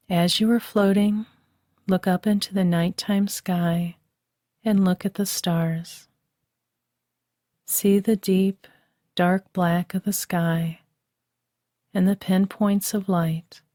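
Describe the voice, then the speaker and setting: A woman leads a bedtime relaxation meditation in a soft, soothing voice. She speaks very slowly in a flat, even tone with a mellow, slightly low voice. The recording is dry and close, like a studio voice-over. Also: no echo. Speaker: meditation guide.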